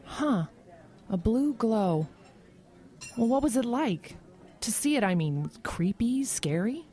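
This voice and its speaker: A friendly woman co-worker in a radio play. Her voice is fairly high and swings expressively. She is chatty and full of curiosity. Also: sing-song, takes it slowly, warm tone. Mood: intrigued. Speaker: supporting actress. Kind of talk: audio drama dialogue.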